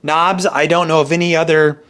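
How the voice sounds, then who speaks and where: A man's voice: fairly high pitch, little pitch movement, moderate pace, fairly bright tone, clean tone. A man giving a casual product review, rambling and correcting himself as he thinks aloud. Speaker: video reviewer of vintage hi-fi gear.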